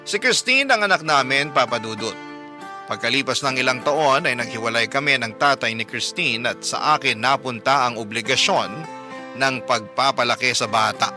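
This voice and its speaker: A man narrating a listener's letter as a radio host, in dramatic scripted storytelling. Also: mid-range voice. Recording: music clearly behind the voice.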